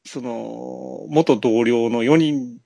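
A man's voice: medium pitch, fairly even intonation, very slow, gravelly, warm tone, dry recording. A man with a Kansai accent chatting casually about films as a podcast co-host, piecing his thoughts together as he goes. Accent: Kansai Japanese. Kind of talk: casual film discussion.

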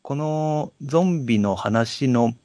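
A man co-hosting a casual podcast chat, speaking politely and haltingly in an apologetic way. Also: mid-range voice, a little mellow, no room sound. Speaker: podcast co-host.

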